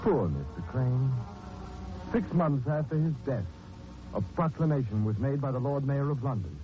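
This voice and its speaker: A man's voice: mid-range voice, animated, normal speed. A man hosting a 1940s radio mystery, narrating in a dramatic, sardonic, theatrical manner. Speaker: radio mystery host and announcer. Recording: dull 1940s radio recording with music. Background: music.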